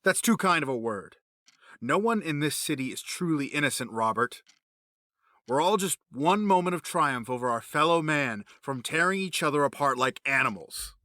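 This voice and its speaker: A man playing a cold, grieving villain in an audio drama, menacing, sardonic and quick to justify himself. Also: a little high, animated, bright, forceful tone, no room sound, clean studio sound. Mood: cold, cynical. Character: cold, obsessed male villain, a grieving widower running experiments.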